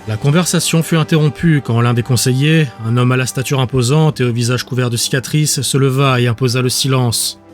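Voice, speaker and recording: A man narrates fiction in audiobook style. His voice sits at a medium pitch, and music plays faintly underneath. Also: steady tone, brisk, hardly any pauses. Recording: music bed behind the voice.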